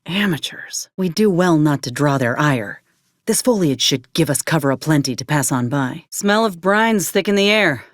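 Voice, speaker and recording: A woman's voice: deep voice, animated, moderate pace, no room sound, clean studio sound. Folksy, wary ex-medic soldier woman. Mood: watchful, dry. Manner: folksy, drawling frontier speech with ain't and folk. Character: wary, plain-spoken female ex-combat medic turned mercenary soldier. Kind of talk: video game character dialogue.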